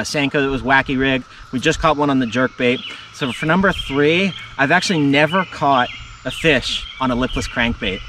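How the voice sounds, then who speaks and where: A man's voice: mid-range voice, noisy background. Laid-back man narrating his fishing outing. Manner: laid-back, chatty, bursts of excitement at catches. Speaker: fishing vlogger. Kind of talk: casual play-by-play while fishing. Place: outdoors wading a river tributary. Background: faint crickets and insects outdoors.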